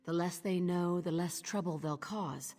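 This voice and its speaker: Low-voiced, bitter woman thief in reverb. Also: unhurried, darkish tone. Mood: grim resolve. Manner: terse, grave, resolute. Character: bitter, grieving woman thief, betrayed by her mentor. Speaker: game character. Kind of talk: dramatic game dialogue. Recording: long hall reverb, music underneath.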